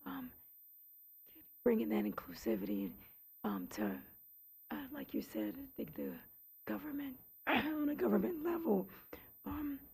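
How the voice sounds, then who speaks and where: A hoarse woman on a city commission, speaking in a lively way that keeps slipping into a whisper at a public meeting. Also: fairly high pitch, unhurried. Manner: slips into whispers, lively delivery. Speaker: commission member. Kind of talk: meeting discussion.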